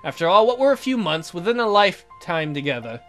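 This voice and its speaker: A man's voice: high-pitched, very animated, a little fast, fairly bright tone, soft background music. A man hosting a gaming video, reading visual novel narration aloud in a theatrical storytelling manner.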